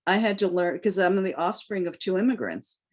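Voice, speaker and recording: A woman's voice: fairly low pitch, expressive, brisk. Earnest woman from New York, first language Cantonese. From New York, United States. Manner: earnest, impassioned. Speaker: guest. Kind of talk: candid interview answer.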